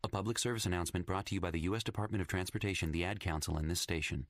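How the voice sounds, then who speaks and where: A man's voice: sits low, fairly even intonation, racing. A man reading a scripted public service announcement as its announcer. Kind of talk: scripted narration.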